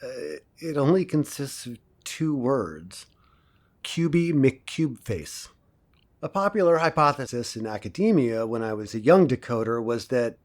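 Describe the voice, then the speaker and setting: Earnest male professor, mid-range voice, didactic, measured, self-assured, audio drama lecture. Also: mid-range voice, darkish tone. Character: earnest academic professor lecturing in dystopian world.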